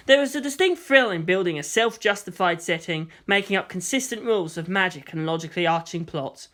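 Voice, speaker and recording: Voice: mid-range voice, a bit bright. A narrator reading a scripted story aloud as in an audiobook.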